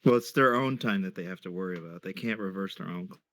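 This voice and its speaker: A man giving casual joking commentary among friends, dropping wry deadpan asides. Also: low voice, fast. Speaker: reader and co-commentator on a stream.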